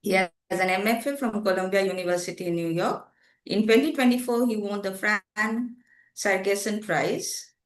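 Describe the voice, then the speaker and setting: A woman's voice: medium pitch, moderate pace, reverberant. A woman moderating a writers' panel, agreeing with a speaker and introducing the next one. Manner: warm agreement, then formal introductions.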